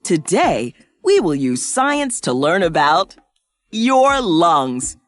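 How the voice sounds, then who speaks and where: Wildly animated, cheerful cartoon science professor. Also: female voice, fairly low pitch, no room sound. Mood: cheerful, playful. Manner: exaggerated, sing-song, slow and clearly explanatory. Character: cheerful, excitable woman science professor for young children. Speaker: presenter of a children's science cartoon. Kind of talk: scripted educational cartoon narration.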